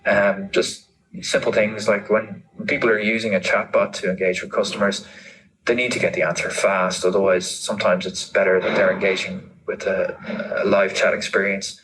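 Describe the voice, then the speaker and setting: A man's voice: low voice, flat delivery, normal speed, a bit bright, low-quality recording. A man giving informal interview answers, thinking aloud and often repeating words as he explains. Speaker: interviewee, marketing manager.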